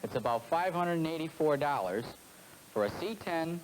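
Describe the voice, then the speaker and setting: A man's voice: on the high side, animated, moderate pace, a little hiss or hum, rough recording. A man speaking as a salesman, giving an earnest, explanatory scripted testimonial on a 1970s film soundtrack.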